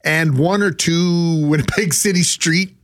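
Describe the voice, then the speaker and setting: A man's voice: on the high side, unhurried. A Canadian man co-hosting a radio show with casual, playfully grandiose and emphatic banter. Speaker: radio co-host.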